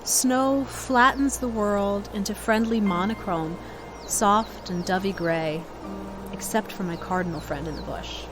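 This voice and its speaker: A woman gives a poetry reading at a very slow pace. Her pitch is average for a woman, and music plays clearly behind her. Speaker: poet reading own work.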